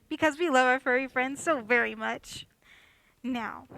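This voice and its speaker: High, bright woman announcer. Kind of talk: scripted sponsor announcement.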